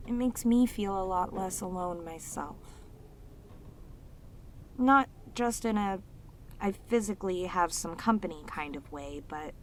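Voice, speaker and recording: A woman's voice: mid-range voice, darkish tone, a little hiss or hum, natural voice. A woman voicing a lonely, sweet, possessive demoness in an intimate roleplay audio drama, speaking tenderly and hesitantly to the listener. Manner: tender, hesitant, slightly stammering, clingy, emotive. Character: lonely, sweet, possessive female demon, sealed away, obsessively devoted.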